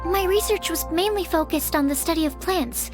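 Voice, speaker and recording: A woman's voice: very high voice, normal speed, music in the background. A woman voicing an earnest, studious young female alchemist in a video game, explaining things encouragingly. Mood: thoughtful.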